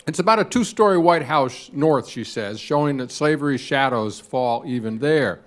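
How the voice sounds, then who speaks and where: A man's voice: mid-range voice, lively intonation, normal speed. An older man speaking as a panelist in a scholarly, thoughtful way. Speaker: panelist, senator, lecturer. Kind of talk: panel talk.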